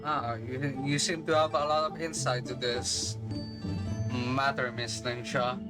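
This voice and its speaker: A casual game streamer with a medium-pitched voice, reacting in a playful, slangy way. The delivery is slow and drawn-out. Loud game music runs behind the commentary. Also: steady tone.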